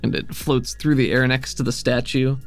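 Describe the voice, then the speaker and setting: A man's voice: mid-range voice, fairly even intonation, normal speed, faint music behind. A man working as game master, casually improvising narration and rulings for a tabletop game.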